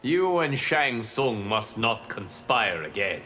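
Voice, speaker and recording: An actor playing a grave, reproachful guardian deity in a video game, formal and measured. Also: male voice, lowish voice, sing-song, bright, forceful tone, faint music behind, full character voice. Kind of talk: dramatic video game acting.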